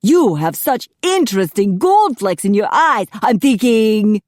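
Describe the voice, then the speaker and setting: A woman's voice: mid-range voice, very animated, measured pace, darkish tone, no room sound, clean studio sound, pitched above the performer's own voice. A woman voicing a flamboyant, fussy young male hair stylist in an audiobook, camp, dramatic and effusive. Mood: appraising.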